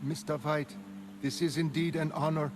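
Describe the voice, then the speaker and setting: A man's voice: a little high, flat delivery, moderate pace, noisy background, pitched above the performer's own voice, natural voice. A man voicing an obsequious male servant in a comic, deferential and very formal. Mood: humbly grateful. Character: obsequious, ceremonious male servant honored by his master.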